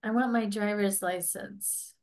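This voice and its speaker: A woman's voice: medium pitch, fairly even intonation, measured pace, darkish tone, close to an everyday voice. A woman in her forties playing an exasperated, persistent licence applicant in a staged comedy reading, with a plaintive manner. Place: indoors, on a video call.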